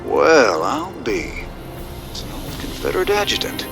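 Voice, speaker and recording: An actor playing a weary, gruff rebel leader in a video game cutscene, with a folksy Southern American drawl. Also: male voice, medium pitch, huge pitch swings, measured pace, music in the background, exaggerated voice. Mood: wry. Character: weary, gruff rebel leader, middle-aged male ex-marshal. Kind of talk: cinematic game voice acting.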